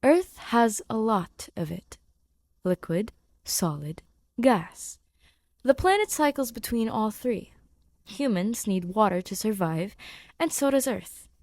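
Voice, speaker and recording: A woman voicing an eager, friendly human male science teacher, animated and encouraging, in a fan fiction audio reading. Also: mid-range voice, slow pace, no echo, studio quality. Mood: earnest, explaining. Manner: animated, encouraging classroom delivery.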